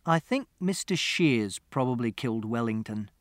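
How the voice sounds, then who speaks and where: A literal-minded teenage boy states everything flatly and plainly, as a man reads him aloud. The voice keeps an ordinary male pitch. It sounds dry and close. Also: moderate pace, no echo, natural voice. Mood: earnest.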